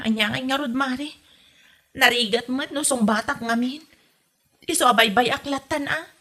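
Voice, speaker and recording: A woman's voice: high voice, normal speed, sharp tone, heavily acted. A woman playing an exasperated young wife in a comedy drama, complaining melodramatically with a northern Philippine accent. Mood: weary, aggrieved. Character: exasperated young wife fed up with her meddling mother-in-law. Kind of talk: comedy radio drama acting.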